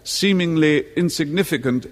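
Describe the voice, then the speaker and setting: A man's voice: a little high, moderate pace, lightly acted. Pompous, bitter ageing husband, acted by a man, cavernous echo. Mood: bitter indignation. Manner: florid, scornful, theatrically precise. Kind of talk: 1970s radio horror drama acting. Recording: long reverberant echo on 1970s radio recording.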